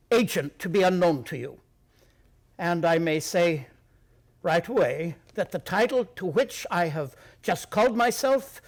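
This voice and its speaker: An actor in a radio play playing a proud, secretive Bohemian king, speaking in a stately, formal manner. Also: sits high.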